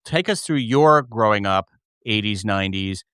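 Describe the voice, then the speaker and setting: A man's voice: fairly low pitch, animated, moderate pace, pushed and bright, clear, resonant tone, no echo, clean studio sound. A middle-aged American man hosting a casual chat interview. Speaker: show host.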